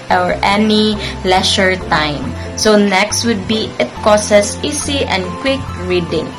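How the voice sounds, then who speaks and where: A woman presenting a lesson, reading out points and explaining them in a hesitant, halting way. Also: mid-range voice, rough recording. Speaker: student presenter acting as teacher. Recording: clear music behind the voice. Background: music.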